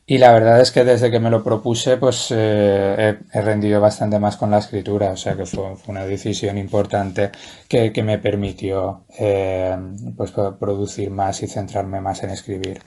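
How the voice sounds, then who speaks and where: A Spanish man in his forties speaks in a low, mellow voice that stays fairly level. He answers as an interview guest, thoughtful and hesitant, often restarting his sentences. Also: little pitch movement, moderate pace, gravelly. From Valencia, Spain. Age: 40s. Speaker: guest writer.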